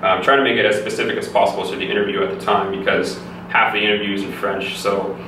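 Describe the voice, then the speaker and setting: A young man from Canada talks quickly and steadily in a flat, low voice. He sounds like an easygoing student being interviewed. The recording is very echoey, taken from a distance with clear background noise. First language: English. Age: young adult. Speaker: physiotherapy student guest. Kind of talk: casual interview answers.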